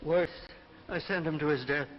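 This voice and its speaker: Male actor as tormented guilty man, slightly high voice, halting and theatrical, dull vintage TV soundtrack, faint music. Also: lightly acted. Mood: guilty, grave. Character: anguished, guilt-ridden man burdened by a terrible power. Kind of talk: 1950s television drama acting.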